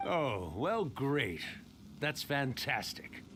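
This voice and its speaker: A theatrical, centuries-old male spirit from a video game. He speaks eloquently and wryly, with a very animated melody at a middle pitch. Clear ambient sound runs behind him. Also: extremely slow, a little hiss or hum, exaggerated voice. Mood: fond farewell. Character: centuries-old male yokai artist, theatrical, wry, fondly nostalgic.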